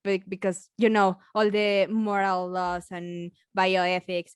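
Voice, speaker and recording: A woman with a Mexican accent, a biologist chatting casually as a podcast guest, halting with many restarts and frequent laughs. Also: medium pitch, monotone, clean tone, no echo, studio quality. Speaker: biologist guest and science communicator.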